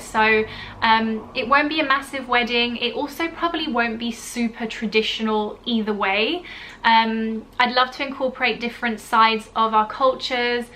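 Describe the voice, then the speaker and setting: A woman's voice: fairly high pitch, pushed and bright, slight background noise, rough recording. A young woman, telling a personal story to camera in a chatty, gushing way, full of fillers like "you know". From born in Italy, grew up in the UK. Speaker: vlogger. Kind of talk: casual storytime chat to camera.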